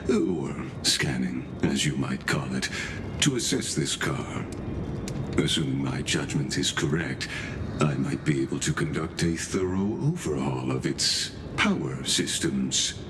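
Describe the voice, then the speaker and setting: Deep polite schemer robot scholar for a video game, formal n deferential, really expressive intonation, rumbly noise behind. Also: male voice. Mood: courteous, composed. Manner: formal, deferential, measured, deliberate. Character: courteous, scheming machine-born male scholar, formal and composed. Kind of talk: scripted video game character dialogue.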